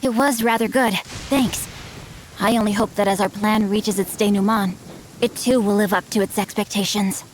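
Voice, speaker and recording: A woman's voice: mid-range voice, steady tone, moderate pace, close to an everyday voice. A woman playing a bookish, refined, boyish young nobleman in a video game, speaking in a formal, theatrical manner. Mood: composed, smug. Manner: eloquent, formal, dramatic. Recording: game audio with noise behind.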